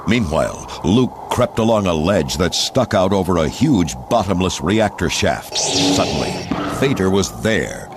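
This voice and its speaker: Male storybook narrator: low voice, measured dramatic read for kids' adventure, loud score underneath. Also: lively intonation. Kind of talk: dramatic scripted children's read-along narration. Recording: loud music and effects underneath.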